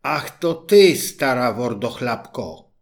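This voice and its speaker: A man voicing a spoiled, fretful young princess in a fairy tale, with high exclaiming speech. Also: sing-song, measured pace. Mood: haughty.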